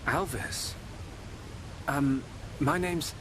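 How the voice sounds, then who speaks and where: A man's voice: a little high, extremely slow, background noise. A young man playing an earnest, kind young hero in a video game cutscene, sometimes hesitant. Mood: puzzled, hesitant.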